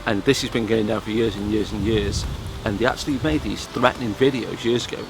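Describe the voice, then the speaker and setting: A man's voice: on the low side, fairly even intonation, fairly quick, background noise. A British man giving casual, chatty commentary to viewers as a vlogger, telling stories informally. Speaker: vlogger presenting old public information films.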